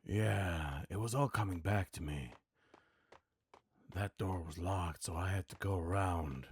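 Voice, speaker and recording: Man doing a slow, low hardboiled noir gunman. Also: slight background noise. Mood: grim, brooding. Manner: terse, deliberate, brooding storytelling. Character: hardboiled male noir gunman, weary, grim, self-narrating. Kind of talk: noir game monologue, voice acting practice.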